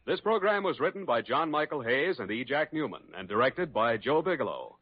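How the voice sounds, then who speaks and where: A man's voice: medium pitch, big pitch swings, brisk, breathy edge. An American man announcing a scripted old-time radio show in a formal, theatrical manner. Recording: dull old radio broadcast recording. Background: prominent music.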